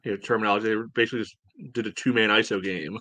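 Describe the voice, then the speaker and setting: Male podcast co-host, lowish voice, close dry sound, conversational and hedging as he breaks down play. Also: steady tone, rapid-fire, pushed and bright, dry recording, dull audio. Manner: hedging, conversational, frequent "you know". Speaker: podcast co-host, soccer fan. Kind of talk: casual sports analysis chat.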